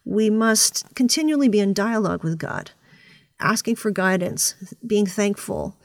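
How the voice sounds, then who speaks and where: A woman hosting a reflective devotional podcast, speaking earnestly and with deliberate phrasing. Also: mid-range voice, creaky, no room sound, clean studio sound. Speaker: podcast host. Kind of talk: reflective spoken devotional with read prayer.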